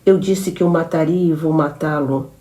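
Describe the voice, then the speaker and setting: A woman's voice: low voice, steady tone, normal speed, dark tone, lightly acted. A woman reader voicing a jealous young woman who shoots her lover and then falls into hysterics. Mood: cold threatening. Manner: menacing then frantic and sobbing.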